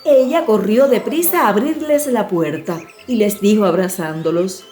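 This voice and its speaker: A woman storyteller reading a scripted fairy tale in an expressive, measured way. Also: medium pitch. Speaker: audiobook storyteller.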